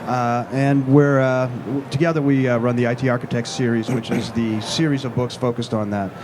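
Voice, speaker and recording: Man, average pitch, hesitant fillers, panel talk, noisy conference floor. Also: little pitch movement, normal speed. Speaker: co-author on a panel. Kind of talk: panel discussion. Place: large conference stage.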